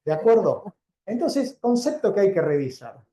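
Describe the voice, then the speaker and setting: A man's voice: high voice, very animated, moderate pace, warm tone. A man with an Argentine accent giving an informal, conversational lecture to a live audience, teaching and often checking in with questions. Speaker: doctor giving a keynote lecture. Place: indoor lecture venue before an audience. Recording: roomy, echoing live lecture sound.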